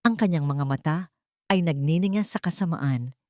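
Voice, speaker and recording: Woman reading a dramatic audiobook story, low voice, dry close sound. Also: moderate pace, a little mellow, no room sound. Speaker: audiobook narrator. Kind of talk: scripted dramatic story narration.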